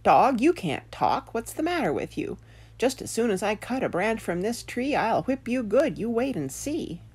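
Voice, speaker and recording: Lady doing a spooked farmer guy in a kids story, husky, exasperated, up and down. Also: fairly low pitch, very animated, unhurried. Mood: angry, amazed. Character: excitable, easily spooked male farmer in a children's tale.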